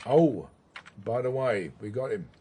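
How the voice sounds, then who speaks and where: A man plays a wry English police detective in a radio drama, needling and patronising. His voice has an ordinary male pitch, and clear background noise surrounds it. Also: animated, a little slow, mellow, close to an everyday voice. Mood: dry, satisfied. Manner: sardonic, patronising. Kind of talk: naturalistic radio drama acting. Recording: clearly noisy background ambience.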